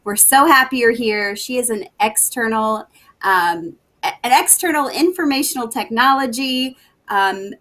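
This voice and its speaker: Bright upbeat woman webcast host. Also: a little high, expressive, measured pace. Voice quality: upbeat, enthusiastic interviewer. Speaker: host, NASA education specialist. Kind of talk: live webcast hosting.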